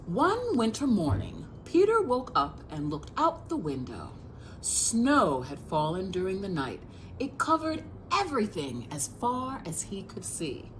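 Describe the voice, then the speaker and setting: A woman's voice: medium pitch, wildly swooping pitch, a little slow, slight background noise, rough recording. An American woman reading a picture book aloud as a children's librarian, expressively, speaking directly to young listeners.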